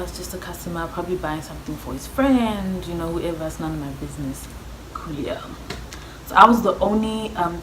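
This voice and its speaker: Low-pitched young South African woman storytelling. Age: young adult. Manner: chatty, slangy, acts out reported dialogue. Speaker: vlogger. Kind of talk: casual personal storytelling to viewers. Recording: echoey room with clear background noise.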